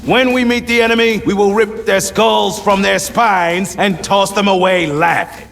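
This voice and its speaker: A man's voice: sits high, steady tone, unhurried, creaky, a bit bright, full character voice. A man acting a gung-ho, bellicose Marine sergeant in a video game, barking with swagger at his troops. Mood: rousing, commanding. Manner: barking, swaggering drill-sergeant delivery with emphatic punches. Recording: game cinematic with music behind. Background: music.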